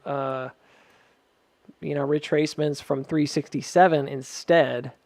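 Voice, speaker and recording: Higher-voiced man thinking aloud, dry sound. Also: darkish tone, no room sound. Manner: hedging, thinking aloud, checking in with listeners. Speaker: host of a stock chart analysis video. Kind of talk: casual unscripted market commentary.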